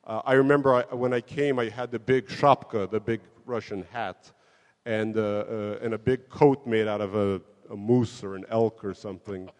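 A man born in the Soviet Union, a guest in an interview, telling stories about himself in a relaxed, self-mocking way with frequent laughs and restarts. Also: lowish voice, moderate pace. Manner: self-deprecating, laughs often, many restarts. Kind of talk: relaxed conversational storytelling. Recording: long hall reverb.

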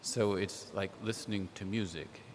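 A man talking as a literary translator on a panel, thoughtful and hesitant, with many pauses and ums. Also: low-pitched, fairly even intonation, slight background noise. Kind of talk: panel discussion. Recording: echoey hall.

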